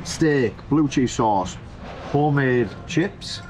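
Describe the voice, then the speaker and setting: A man's voice: medium pitch, animated, slow pace, darkish tone, soft background music. A man in his thirties with a British accent, reviewing food casually to camera in a chatty, slangy, emphatic way. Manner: chatty, slangy, emphatic, repeats phrases for effect. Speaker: food reviewer and vlog host. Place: open-air restaurant terrace by a road. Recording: outdoor street-side noise, passing vehicles.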